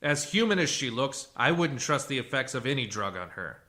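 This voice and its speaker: A man's voice: medium pitch, big pitch swings, normal speed, pushed and bright. A man acting in a radio play as a blunt, self-assured civilian interrogator and psychologist who talks in a dry, cutting way. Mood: cool, assertive. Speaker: actor.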